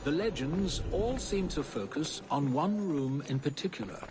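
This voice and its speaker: A man playing a genteel, theatrical Victorian gentleman in a video game, telling ghost stories in florid, articulate speech. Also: fairly high pitch, lively intonation, normal speed, noisy background, natural voice. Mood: theatrical, eerie. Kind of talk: dramatic ghost-story narration in a video game.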